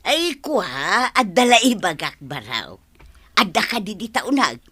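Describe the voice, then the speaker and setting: An animated, scheming older Ilocano village woman. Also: medium pitch, measured pace, breathy edge, full character voice. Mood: sly, eager. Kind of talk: Ilocano radio drama acting.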